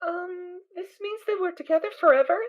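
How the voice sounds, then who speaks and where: A woman's voice: very high-pitched, fairly quick. A woman voicing a small, naive, cheerful filly pony in a fan audio drama, with a squealing, childlike manner. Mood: worried.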